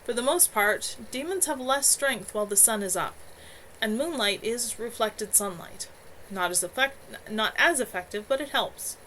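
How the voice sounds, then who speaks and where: Brief: woman voicing a composed woman in charge, explains plans, raised pitch. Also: lively intonation, moderate pace, bright, forceful tone, a little hiss or hum, rough recording. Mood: explaining.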